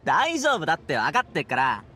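An upbeat, friendly young guard from an anime game, with a high, bright-spirited delivery. He speaks in breezy slang with animated intonation, over faint street ambience. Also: fairly quick, slight background noise, exaggerated voice. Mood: eager, cheerful. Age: young adult. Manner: casual, breezy, boyish slang. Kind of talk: Japanese anime game voice acting.

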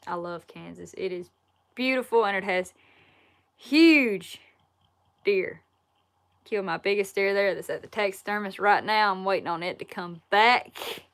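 A woman in her twenties with a Southern Alabama accent, chatting casually on a livestream and answering viewer questions in a relaxed, folksy way from a wood-paneled room. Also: mid-range voice, unhurried, long pauses. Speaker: outdoors YouTuber answering live chat. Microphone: laptop or phone camera at arm's length.

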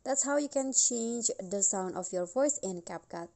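A woman hosting a tutorial, narrating software steps in a casual style. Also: mid-range voice, lively intonation, normal speed, vocal fry. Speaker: tutorial host.

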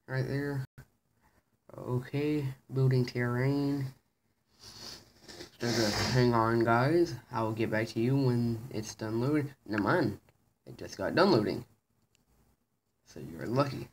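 A teenage boy hosting a casual gaming video, bossy and impatient with his friend, reading game signs aloud haltingly. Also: medium pitch, a little hiss or hum. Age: teens. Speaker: gaming channel host. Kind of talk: casual let's play commentary. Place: indoor room.

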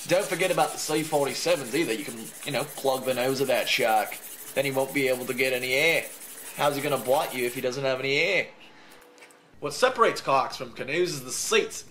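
A man doing a jokey, deadpan kayak demo outdoors. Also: a little high, a little hiss or hum. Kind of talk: comic product demo.

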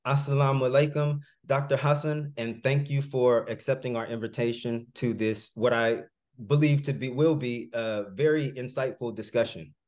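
A man hosting an interview over a video call, asking polite and measured questions. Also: medium pitch, fairly even intonation, a little mellow. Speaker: podcast host. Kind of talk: hosted interview. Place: home room, plain wall.

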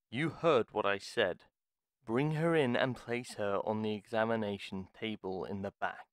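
Man acting a slow, formal, weary retired apothecary. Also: on the low side, no echo, clean studio sound. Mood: grudging, urgent. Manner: formal, deliberate, weary, theatrical. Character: gruff, reluctant retired male pharmacist, courteous but wary. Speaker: voice actor in roleplay audio. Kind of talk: dramatic audio roleplay monologue.

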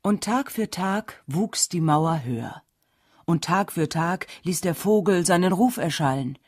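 A woman's voice: on the low side, animated, a little slow, slightly rough, no echo, studio quality. A woman narrating a folk tale as a scripted audiobook. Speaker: storyteller.

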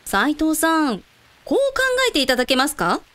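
A woman's voice: sits high, wildly swooping pitch, moderate pace, a bit bright, full character voice. A woman playing a confident, teasing woman who takes charge in a radio drama. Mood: assured, persuasive.

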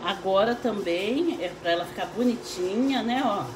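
A woman's voice: mid-range voice, normal speed, background noise. Chatty woman in her fifties, roomy kitchen. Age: 50s. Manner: chatty, laughs a lot, frequent "né, ó". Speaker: home cook hosting a live stream. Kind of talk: casual cooking chat. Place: home kitchen, indoors. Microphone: phone camera.

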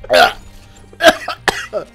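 An excitable gamer chatting casually while playing, given to shouting and squealing as co-host of a gaming video. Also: very slow, soft background music.

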